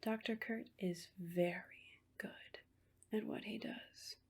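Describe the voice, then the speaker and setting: Horror ASMR nurse, female performer: hushed, husky whisper, gravelly edge, coaxing reassurance over quiet menace. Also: mid-range voice, steady tone, heavily acted. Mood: falsely reassuring. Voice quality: breathy whisper. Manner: slow, coaxing, falsely reassuring. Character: sinister asylum nurse, soothing on the surface, quietly menacing.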